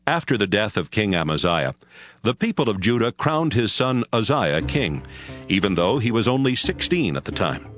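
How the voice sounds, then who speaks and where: A man's voice: fairly low pitch, big pitch swings, normal speed, fairly bright tone. A man reading scripture as scripted narration for an audio Bible. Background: music.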